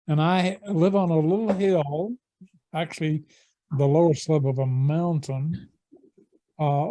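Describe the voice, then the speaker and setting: A man introducing himself slowly and thoughtfully on a video call from home. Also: on the high side, warm tone, no echo. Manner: slow, thoughtful, with pauses. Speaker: meeting participant. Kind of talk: casual self-introduction.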